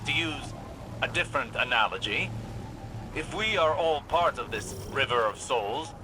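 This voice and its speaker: An actor playing an exacting, haughty male mentor in a video game, with a crisp, didactic, impatient delivery. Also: mid-range voice, lively intonation, normal speed, background noise, natural voice. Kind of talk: video game mission dialogue.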